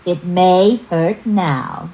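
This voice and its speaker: A woman voicing a stern, self-righteous male country schoolteacher in a storybook tale, moralizing slowly. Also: fairly low pitch, dark tone, poor audio. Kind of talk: storybook character voice.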